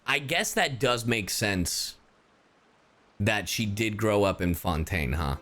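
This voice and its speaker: A man's voice: fairly low pitch, animated, takes it slowly, a bit bright. A man commenting casually on a game during a livestream, informal and reactive, with some swearing. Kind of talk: casual gaming stream commentary.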